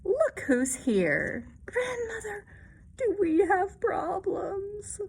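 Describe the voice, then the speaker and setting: Woman voicing an anxious, high-pitched little kitten. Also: big pitch swings, drawn-out words, darkish tone, heavily acted. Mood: excited, anxious. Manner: dramatic, worried, exclaiming. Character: anxious young kitten fretting over imagined scary things.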